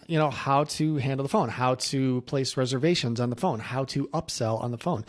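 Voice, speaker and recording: A man speaking casually as a coach, sharing anecdotes and practical advice in a conversational way. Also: medium pitch. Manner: conversational, anecdotal, acting out quoted remarks. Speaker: insurance agency coach and presenter. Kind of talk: casual coaching talk to camera.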